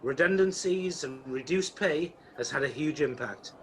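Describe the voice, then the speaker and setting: A man's voice: a little high, normal speed, a bit bright, lightly acted. A man acting as the storytelling narrator of an amateur Christmas radio play, reading a script. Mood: descriptive. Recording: noisy home video-call recording.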